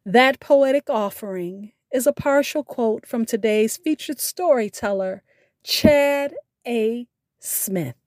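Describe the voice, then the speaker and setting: A woman hosting a podcast, reading a scripted sign-off in a deliberate, emphatic way. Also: fairly high pitch, a little mellow, no room sound, clean studio sound. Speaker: podcast host.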